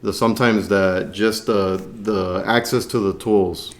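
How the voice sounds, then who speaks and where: A man with a low-pitched voice talks as a podcast co-host. His delivery is conversational and informal. He is agreeable, readily affirming the person he is talking with. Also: steady tone, measured pace. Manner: agreeable, often affirming the other speaker. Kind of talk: casual conversation.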